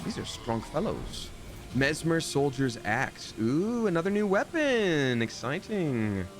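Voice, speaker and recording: A man chatting casually and at length while streaming a video game, rambling along and jumping up in excitement. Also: a little high, slow. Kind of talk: live gameplay commentary. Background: game music.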